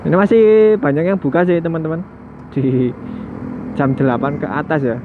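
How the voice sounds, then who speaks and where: A man's voice: on the high side, normal speed. A man riding a motorbike at night, chatting casually to his viewers through a headset mic and musing aloud with the odd chuckle. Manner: chatty, muses aloud, chuckles now and then. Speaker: motovlogger. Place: on a motorbike on night city streets. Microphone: headset mic worn while riding. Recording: tinny helmet mic with loud road noise.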